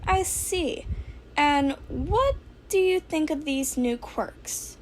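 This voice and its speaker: A woman's voice: high voice, expressive, takes it slowly, a little mellow, slight background noise, pitched above the performer's own voice, poor audio. A woman voicing a small, courteous male school principal in a fan fiction audiobook, speaking in polite, formal phrases. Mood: polite, chirpy.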